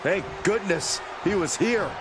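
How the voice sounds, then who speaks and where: A man performs an indignant wrestling announcer with a high, lively voice. He exclaims through the sports commentary over a loud crowd. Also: unhurried, background noise. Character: outraged male wrestling announcer. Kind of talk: live-style sports commentary. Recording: loud crowd roar and music behind.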